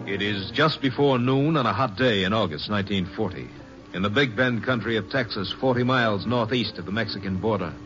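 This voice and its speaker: A man reading measured, sober scripted narration for a 1950s radio western. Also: lowish voice, music in the background. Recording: muffled old radio transcription.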